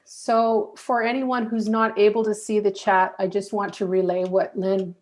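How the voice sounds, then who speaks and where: A woman moderates a panel over a video call and calls on each speaker in turn. She keeps a calm, patient tone. Her pitch sits in the middle. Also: moderate pace.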